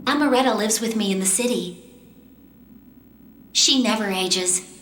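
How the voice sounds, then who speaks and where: A woman voicing a determined, composed young female magician in a fantasy game, earnest and measured. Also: mid-range voice, a bit bright, a little hiss or hum, natural voice. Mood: wistful, reflective. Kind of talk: English-dubbed fantasy game cutscene acting and narration. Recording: roomy echo, game music behind.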